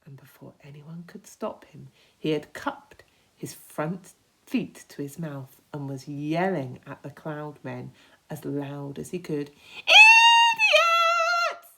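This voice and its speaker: A woman reading a children's story aloud with expressive, dramatic emphasis. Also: low-pitched, a little slow, creaky, breathy edge, low-quality recording.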